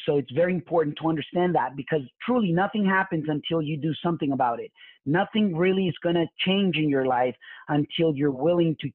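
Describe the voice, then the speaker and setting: A man's voice: on the high side, no echo. Man with a Spanish accent on a tinny webinar line. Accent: Mexican Spanish. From Mexico. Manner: repetitive restarts and stumbling fillers. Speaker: real estate webinar host. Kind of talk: live motivational webinar. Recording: tinny phone-like webinar audio.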